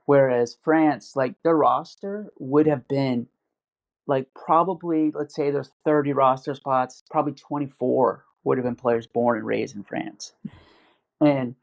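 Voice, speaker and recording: A man's voice: mid-range voice, a little slow, creaky. A man in casual podcast chat, rambling with lots of fillers and restarts. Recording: dull lo-fi audio.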